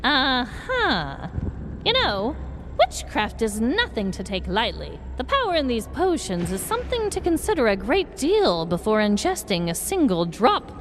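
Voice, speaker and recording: A woman's voice: fairly high pitch, huge pitch swings, slight background noise, pitched above the performer's own voice. Theatrical old witch, wildly swooping voice. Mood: ominous warning. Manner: theatrical, drawn-out, cautioning. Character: theatrical old witch shopkeeper, sly and ominous. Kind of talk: scripted Halloween podcast skit.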